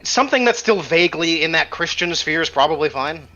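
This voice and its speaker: A man's voice: on the high side, expressive, a little fast, pushed and bright. A man running a tabletop game as game master, casually explaining the world's lore and thinking aloud as he goes. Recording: slightly dull lo-fi audio over faint music. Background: faint music.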